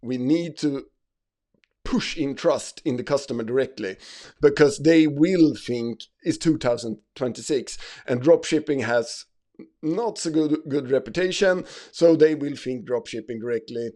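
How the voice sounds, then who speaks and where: Halting man explaining ecommerce. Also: medium pitch, mellow. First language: not English. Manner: halting, many fillers and restarts. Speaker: ecommerce channel host. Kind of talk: screen-share tutorial.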